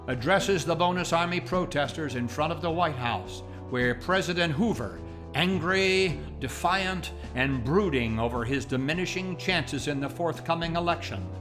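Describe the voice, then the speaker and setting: Radio drama narrator, male, lightly high-pitched, measured and formal, with clear string music underneath. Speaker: radio play announcer and narrator. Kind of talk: scripted narration in old-time radio manner. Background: plucked guitar music.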